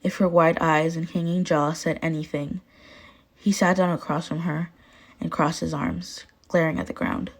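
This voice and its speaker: Casting: female audiobook-style narrator, lowish pitch, reading prose fiction from a script. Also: flat delivery, moderate pace. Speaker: podfic narrator.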